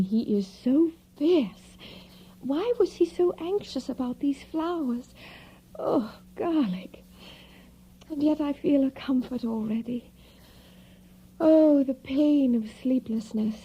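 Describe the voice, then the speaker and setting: An actress playing a sweet, trusting young gentlewoman in an audio drama, gentle, breathy and wistful. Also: sits high, slow pace. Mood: dreamy, wistful. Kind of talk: audio drama acting, diary narration. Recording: dull, muffled audio drama recording.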